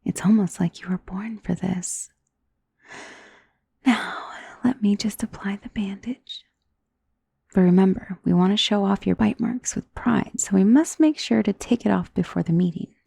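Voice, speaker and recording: Woman acting a husky, soft-spoken ancient female vampire. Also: mid-range voice, dry recording, heavily acted. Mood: tender, possessive. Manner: soft, intimate, genteel, unhurried endearments, often dropping into whisper. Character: centuries-old female vampire, wealthy, doting, possessive, calmly commanding. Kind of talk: ASMR roleplay. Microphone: close microphone in a dry space.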